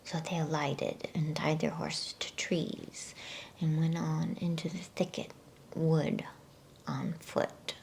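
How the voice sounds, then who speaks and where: A woman reading a storybook in a soft, breathy ASMR whisper, with a soothing, unhurried manner and casual delivery. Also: deep voice, fairly even intonation. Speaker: ASMR bedtime story reader.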